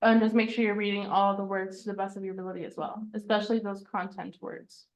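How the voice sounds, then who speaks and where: A woman's voice: medium pitch, little pitch movement, fast talker. A woman teaching a citizenship class, explaining the reading test in a plain, reassuring way, step by step. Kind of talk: casual classroom instruction. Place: online class recording.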